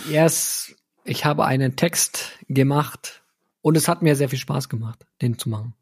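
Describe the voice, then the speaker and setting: A man's voice: mid-range voice, unhurried, breathy edge. A man chatting casually as co-host of a podcast. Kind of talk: casual podcast chat, reading out own lyrics.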